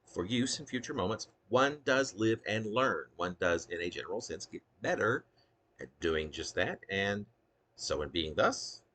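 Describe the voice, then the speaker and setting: A man's voice: lowish voice, very animated, a little slow, fairly bright tone, slightly rough. A man reading his own poetry as an essay-like monologue, in a ruminative, wordy and emphatic manner. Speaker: poet reading own work. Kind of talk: spoken poetry reading, essay-like monologue with occasional unscripted asides.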